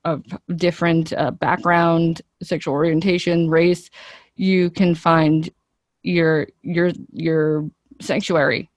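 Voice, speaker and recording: A woman's voice: low voice, dry recording. A woman speaking as an interview guest, an actress giving earnest, talkative answers in a conversational way and thinking aloud.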